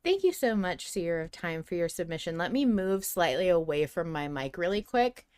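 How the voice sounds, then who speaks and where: A woman's voice: lowish voice, expressive, normal speed, a little mellow, clean tone. A woman co-hosting a podcast in casual discussion, emphatic and wryly opinionated. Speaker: podcast co-host. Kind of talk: casual discussion of a fiction podcast, reading listener submissions.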